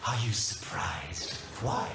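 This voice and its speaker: An actor playing a smug, theatrical schemer in a video game, mocking and drawing out his words with pointed pauses. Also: low voice, lively intonation, gravelly, heavily acted. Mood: sly, teasing. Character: sly, smug, theatrical male schemer taunting uneasy allies. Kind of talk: scripted video game voice acting. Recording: echoey, distant, loud noise behind.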